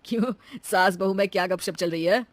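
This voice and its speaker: A woman plays a young husband in a family story. He is calm and teasing as he reasons, in a dry, close recording. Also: medium pitch, moderate pace, no echo, natural voice. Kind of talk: animated story dialogue.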